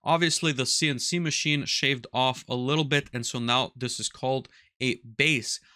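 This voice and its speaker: A man in his thirties giving a step-by-step software tutorial on automation, talking methodically over a headset mic in a small workshop. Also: mid-range voice, fairly even intonation, moderate pace, no echo, clean studio sound. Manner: explanatory, methodical, walks through steps aloud. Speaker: automation instructor. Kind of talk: screen-recorded software tutorial. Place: small workshop room with control panels.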